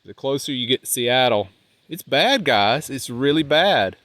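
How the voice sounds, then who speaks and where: A man with a congested, nasal voice, talking casually to the camera outdoors as a van life vlogger, rambling and trailing off quietly. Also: mid-range voice, lively intonation, measured pace, dry recording. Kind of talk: casual vlog talk while walking. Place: outdoors by a riverbank park.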